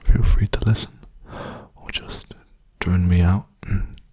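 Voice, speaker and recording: A young man speaking softly in a hushed, breathy, soothing whisper, rambling casually for an ASMR video. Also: low-pitched, steady tone, slow. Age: young adult.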